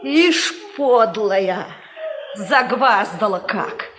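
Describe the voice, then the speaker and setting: A woman's voice: mid-range voice, expressive, drawn-out words, crowd noise. An actress playing a brazen, jealous young Russian peasant woman with coarse, taunting rustic speech in a radio play. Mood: spiteful anger. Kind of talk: 1950s Soviet radio play acting. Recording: echoey 1950s radio recording with music.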